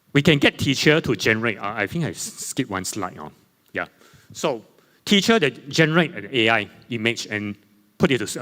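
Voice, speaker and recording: A man whose first language is Chinese gives a conference panel talk from his slides. He speaks haltingly, often repeating himself and correcting his words. His voice has a mid pitch and carries the long reverb of a large hall. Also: fairly bright tone. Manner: halting, many fillers and self-repairs. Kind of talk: academic presentation with slides.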